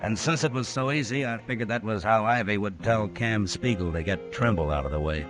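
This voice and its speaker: Male actor as Old West marshal, low voice, American accent, stern, terse, watchful, vintage radio drama. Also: a little fast, music in the background, lightly acted. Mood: dry, reflective. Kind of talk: old-time radio western acting and narration.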